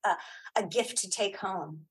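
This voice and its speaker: A woman's voice: mid-range voice, a little slow, a bit bright, breathy edge, poor audio. A middle-aged woman chatting casually as an interview guest, effusive and emphatic, often repeating her phrases. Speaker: interview guest, audio drama creator and filmmaker.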